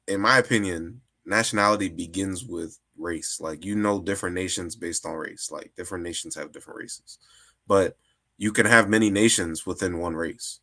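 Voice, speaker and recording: A man's voice: deep voice, bright, forceful tone. A man in a casual discussion, often restarting and repeating words as he builds his argument. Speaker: guest.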